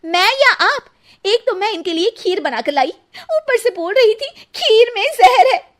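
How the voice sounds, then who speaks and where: A woman's voice: very high voice, expressive, a little slow, fairly bright tone, exaggerated voice. A woman playing a feisty, sarcastic young daughter-in-law in a cartoon, argumentative and theatrical. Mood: indignant. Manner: theatrical, argumentative back-talk. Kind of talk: exaggerated Hindi cartoon family drama.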